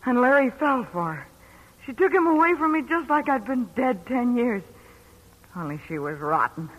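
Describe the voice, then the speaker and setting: A woman's voice: a little high, very animated, moderate pace, lo-fi sound. An actress playing a bitter, jealous gangster's ex-girlfriend in a 1940s radio drama, brassy and emotional.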